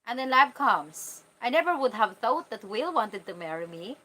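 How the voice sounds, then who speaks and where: A woman's voice: fairly high pitch, sing-song, moderate pace, bright, forceful tone, low-quality recording. Casual Filipino-accented woman chatting candidly. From Philippines. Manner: candid, informal, talking directly to viewers. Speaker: vlogger answering live chat comments. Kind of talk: casual livestream chat.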